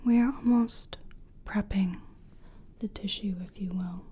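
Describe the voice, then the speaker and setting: A woman whispering in a breathy, gentle and soothing way, as a practitioner in an ASMR personal attention roleplay. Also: mid-range voice, fairly even intonation, slight background noise. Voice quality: breathy, mostly unvoiced whisper. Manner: gentle, soothing, deliberate, reassuring. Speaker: ASMR performer playing a soul-cleansing practitioner.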